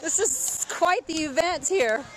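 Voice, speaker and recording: A woman's voice: very high-pitched, unhurried, background noise. A woman giving casual, playful commentary on a family video, cheering and teasing as she goes. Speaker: camera operator narrating family video. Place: open beach outdoors. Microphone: handheld camera, close behind it. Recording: outdoor handheld, people chattering around.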